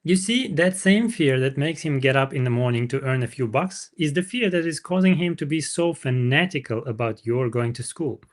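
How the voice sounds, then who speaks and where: A man's voice: medium pitch, animated, normal speed, hardly any pauses. Man reading a book aloud. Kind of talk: book read-aloud with informal asides.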